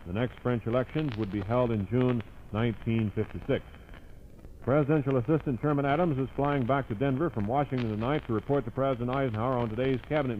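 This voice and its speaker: An American man reading scripted news as a 1950s radio newscaster. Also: mid-range voice. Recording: dull, noisy 1950s AM radio recording.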